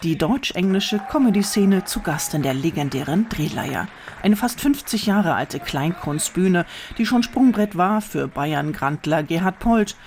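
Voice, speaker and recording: A narrator reading a scripted radio feature in an even, composed way. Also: medium pitch, slight background noise.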